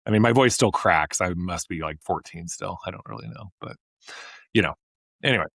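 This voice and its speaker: A man's voice: low voice, a little fast, creaky, fairly bright tone, dry recording, studio quality. A man in his thirties co-hosting a podcast, talking in an enthusiastic, rambling way with many restarts. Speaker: podcast co-host. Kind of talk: casual chat.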